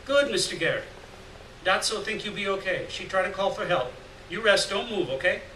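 A man's voice: high voice, expressive, normal speed, bright, forceful tone, voice pushed up. A man voicing a caring, earnest foreign woman in a story reading, speaking short phrases of broken English. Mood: urgent, reassuring. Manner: broken grammar, short urgent reassuring phrases. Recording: roomy, distant, noisy live room recording.

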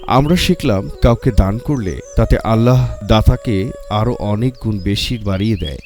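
A man's voice: lowish voice, moderate pace, a little mellow. A man narrating a scripted moral tale as a storyteller for children. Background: music.

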